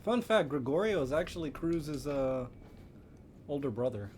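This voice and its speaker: A man's voice: fairly high pitch, animated, moderate pace, darkish tone, a little hiss or hum. A man livestreaming a video game with casual, chatty, offhand commentary and some mild swearing. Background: video game music and sound.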